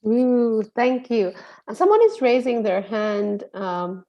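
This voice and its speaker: A woman's voice: a little high, lively intonation, slow pace, mellow. Friendly Bangladeshi woman facilitator on a video call, casual and chatty. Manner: friendly, chatty, laughs often. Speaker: online program facilitator.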